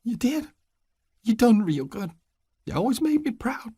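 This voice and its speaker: A man's voice: extremely high pitch, sing-song, unhurried, dark tone, breathy edge, dry recording, studio quality, pitched above the performer's own voice, heavily acted. A man voicing an older rural mother, grieving and speaking in a thin, shaky voice. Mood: grieving, tender.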